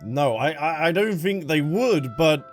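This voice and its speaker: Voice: medium pitch, expressive, measured pace. A gaming streamer giving casual commentary while playing. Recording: game music under the voice. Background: game music.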